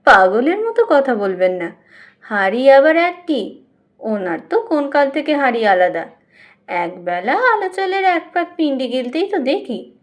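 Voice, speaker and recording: A woman narrator plays a stubborn younger brother-in-law in an audiobook tale. He is scornful and blunt, brushing others off in a high-pitched voice. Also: big pitch swings, a little slow. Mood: scoffing. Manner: mocking, blunt, dismissive.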